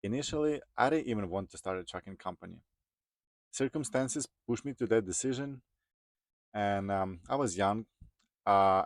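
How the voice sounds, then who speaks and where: A man's voice: lowish voice, no echo, clean studio sound. A man in his thirties from Ukraine with a Ukrainian accent, telling his personal story to viewers as a vlogger. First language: Ukrainian or other non-English. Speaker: vlogger, truck driver.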